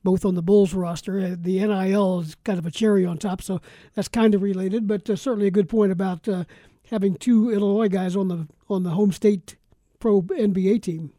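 A man's voice: high-pitched, steady tone, fairly quick, dark tone, no echo, studio quality. An American man hosting a live sports talk show on radio in a conversational style. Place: radio studio.

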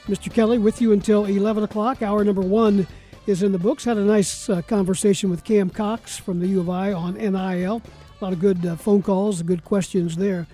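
Mellow male sports radio host. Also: high-pitched. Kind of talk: casual sports talk radio hosting. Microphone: studio broadcast microphone.

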